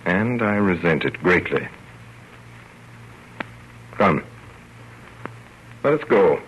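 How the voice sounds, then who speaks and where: A fond, wry father narrates an old radio story in a very deep, measured, theatrical voice. The sound is muffled with faint noise. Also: animated, full character voice. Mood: wry narration.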